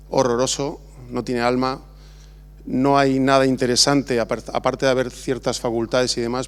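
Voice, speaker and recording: A middle-aged man from Spain, an architect, talking informally at an awards ceremony with many restarts and fillers. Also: medium pitch. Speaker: architect giving an award acceptance talk. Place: large exhibition hall.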